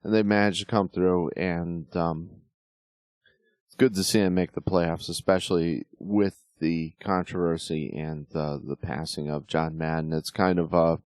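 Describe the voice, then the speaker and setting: Deep-voiced man, a bit hoarse. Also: fairly even intonation, a little slow, darkish tone, dry recording, clean studio sound. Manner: rambling, many fillers and restarts. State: slightly hoarse from yelling. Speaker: podcast host. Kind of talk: casual sports talk.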